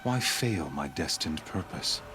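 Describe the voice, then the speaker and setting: An actor playing a ruthless zealot warlord of a sun cult in a video game, speaking in a grandiose, menacing, deliberate manner. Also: male voice, rumbling low, lively intonation, noisy background. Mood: brooding, grandiose. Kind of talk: dramatic video game acting.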